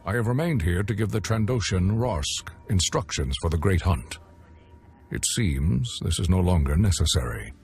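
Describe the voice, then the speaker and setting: An actor playing a formal official of a bounty hunter contest, speaking in a measured, precise way over game music. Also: male voice, low voice, animated, a little mellow. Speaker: tournament official. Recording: music behind.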